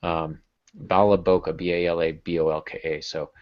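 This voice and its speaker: A man's voice: low-pitched, level tone, moderate pace, no room sound. A middle-aged American man chatting casually and jokingly as a podcast host, recorded over a video call. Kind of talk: casual conversational podcast chat. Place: small home room with bookshelf. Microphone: earbud headset on video call. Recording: dull, compressed video-call audio.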